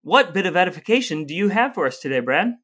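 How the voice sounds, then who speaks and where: Bright, higher-pitched American male podcast host. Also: sing-song, quick pace. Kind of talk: scripted podcast intro and casual chat.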